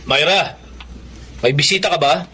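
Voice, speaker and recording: Casting: inquisitive young Filipino man, lighter register, radio play with clear background noise. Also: on the high side, normal speed, pushed and bright. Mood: curious. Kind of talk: Filipino radio drama acting.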